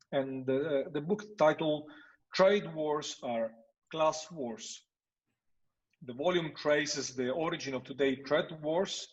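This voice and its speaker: Husky man hosting, halting and formal. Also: mid-range voice. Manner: formal, many pauses with uh. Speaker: host. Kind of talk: formal introduction and interview questions. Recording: dull lo-fi sound.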